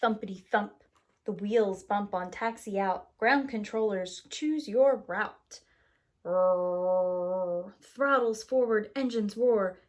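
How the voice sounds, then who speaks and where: Slow-paced woman reading a children's story. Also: mid-range voice, a little mellow. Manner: acts out sound words, rhythmic rhyming delivery. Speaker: preschool teacher reading a picture book aloud. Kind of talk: expressive children's story read-aloud.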